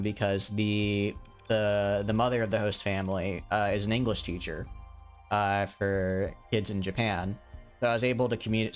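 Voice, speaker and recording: A man's voice: fairly low pitch, clear, resonant tone. A young man in his twenties, live-streaming a game and chatting casually, rambling with lots of restarts and fillers. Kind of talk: casual live-stream chat while gaming.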